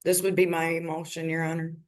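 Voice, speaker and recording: A middle-aged woman giving a formal, deliberately worded account to a judge over a video call. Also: sits low, little pitch movement, a little mellow. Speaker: litigant bringing a motion in court. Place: large office room, on a video call.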